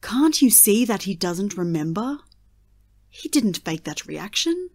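A woman playing a gentle, knowing fortune teller in an audio drama. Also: medium pitch, big pitch swings, measured pace. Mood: protective.

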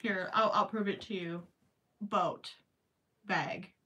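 A young woman with a broad Minnesota accent hosts a crochet livestream, chatting in a loose, rambling way full of wry asides. Her voice is bright and forward. She sits a little back from the mic, so she sounds slightly distant. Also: medium pitch, slow, lo-fi sound. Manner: chatty, rambling, joking asides with frequent laughs. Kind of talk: casual livestream chat. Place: small indoor craft room with yarn shelves.

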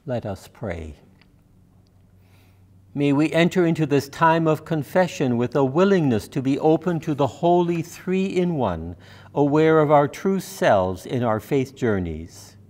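Older man praying, slightly high-pitched voice. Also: animated, a little mellow. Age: 70s. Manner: measured, formal, reverent reading. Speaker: minister leading worship. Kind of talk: scripted liturgy and prayer. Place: church sanctuary, indoors.

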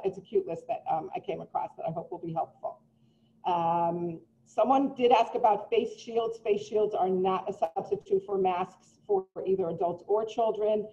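A middle-aged woman speaks earnestly to parents from her home over a video call, reassuring and full of little ums. Her voice is mellow and unforced. The laptop microphone makes it sound muffled and lo-fi. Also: mid-range voice, slight background noise. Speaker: preschool director. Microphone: laptop mic on a video call. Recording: dull lo-fi video call audio.

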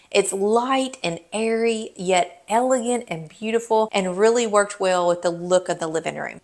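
Middle-aged woman, home vlogger, bubbly and emphatic, mid-pitched voice. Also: fairly bright tone. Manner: upbeat, gushing, emphatic. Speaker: home vlogger giving a room tour. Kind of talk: enthusiastic home tour narration.

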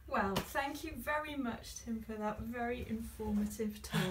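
A woman's voice: fairly high pitch, unhurried. A woman speaking casually from behind the camera. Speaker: camera operator. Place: covered deck space inside a boat. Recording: echoey boat interior with faint noise.

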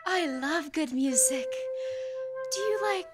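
A young woman acting a coy, lovestruck shop lady in an old-time radio comedy, gushing deliberately. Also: sits high, expressive, over loud music. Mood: smitten. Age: young adult. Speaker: high school student actor. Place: school library room, live staged radio show. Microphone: shared stand microphone.

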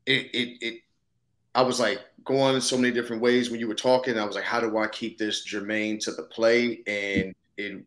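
A man's voice: lowish voice, fairly bright tone. A man in his thirties speaking thoughtfully and casually in a conversational interview over a video call. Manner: thoughtful, casual, punctuated with "you know what I'm saying". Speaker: actor, interview guest. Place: indoor room, video call. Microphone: video call, seated close to the camera.

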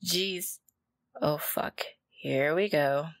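A woman's voice: low voice, extremely slow, gravelly, no room sound, heavily acted. A woman playing a wry young demon student, casual, teasing and protective, in an audio roleplay. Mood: annoyed. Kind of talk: ASMR audio roleplay acting.

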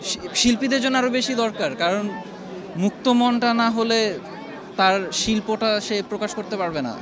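A man's voice: high voice, normal speed. A man, speaking in an unscripted interview. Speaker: interviewee, exhibition visitor. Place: busy indoor gallery. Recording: busy crowd noise around voice.

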